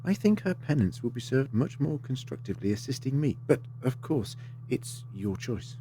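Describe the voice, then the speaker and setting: A man's voice: mid-range voice, sing-song, normal speed, noisy background. A man acting in an audio drama as a polite, assured time-travelling adventurer, articulate and theatrical with a wry streak.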